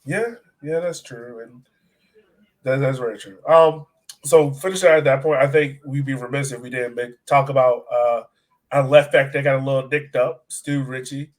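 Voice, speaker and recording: A man's voice: medium pitch, fairly even intonation, normal speed. A man with an American accent hosts a casual soccer podcast. His voice sits in a middle register. He talks conversationally, with an inquisitive manner that keeps the discussion moving. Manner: inquisitive, steers the discussion with questions. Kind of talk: casual conversational sports talk.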